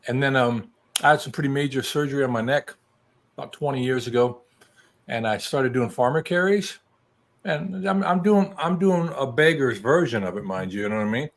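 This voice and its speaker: A man's voice: medium pitch, brisk. A man in his early sixties from New Mexico, a guest chatting casually in a folksy, self-deprecating way. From New Mexico, United States. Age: early 60s. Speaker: guest joining a live chat show. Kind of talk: casual chat.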